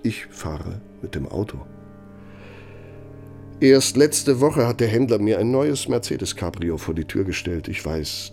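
An actor playing a pompous, bitter older former Nazi functionary dictating in a German radio play, sardonic and domineering. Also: male voice, sits low, creaky, a little mellow.

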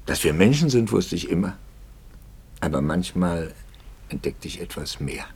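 Gravelly, low-voiced brooding pastor. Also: male voice, expressive, a little mellow, heavily acted. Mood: brooding, pained. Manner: grave, rhetorical, halting delivery. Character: brooding male pastor, anguished, doubting, intense. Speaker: actor in a radio play. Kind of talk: German radio drama acting.

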